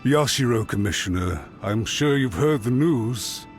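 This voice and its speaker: A man's voice: mid-range voice, animated, unhurried, close to an everyday voice. An actor playing an anxious, apologetic male official who speaks with deference and pleads for a second chance, in a studio game. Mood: pleading. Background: music.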